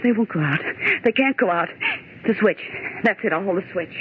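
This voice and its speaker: A woman's voice: medium pitch, brisk, lightly acted. An actress playing a bossy, skeptical but rattled young woman office worker in 1940s radio horror style, scolding and theatrical. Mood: frightened insisting. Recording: old radio recording, narrow tinny band, hiss.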